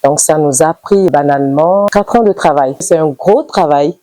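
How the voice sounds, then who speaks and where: Young benin woman singer, low mellow voice, pauses and ums a lot, dry close sound. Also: dry recording. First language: Idaasha. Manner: measured, with pauses and fillers. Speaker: singer being interviewed. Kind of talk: interview answers.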